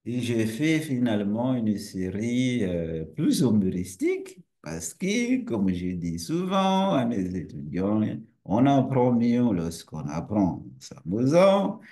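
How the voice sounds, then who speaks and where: A man whose first language is Portuguese speaks slowly and deliberately. He lectures like a university teacher, and his pitch rises and falls a lot. He poses rhetorical questions and often restarts a phrase. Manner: relaxed and friendly. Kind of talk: lecture to camera.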